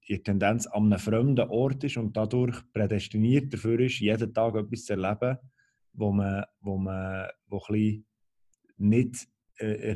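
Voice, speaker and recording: A Swiss German man hosting a casual call, speaking in a thoughtful, measured way with fillers. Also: fairly low pitch, warm tone. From Switzerland. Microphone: video call.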